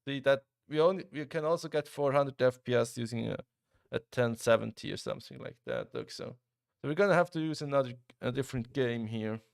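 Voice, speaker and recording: Gravelly Swiss streamer chatting with his viewers. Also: medium pitch, a little fast, dry recording, studio quality. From Switzerland. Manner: relaxed, chatty, talks to chat, frequent restarts. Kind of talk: casual live-stream commentary while gaming.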